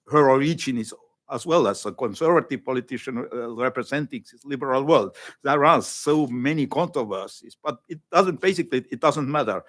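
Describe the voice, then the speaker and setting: A male academic panelist answering a question, pausing often and searching for words with many fillers. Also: medium pitch, fairly bright tone. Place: conference panel room.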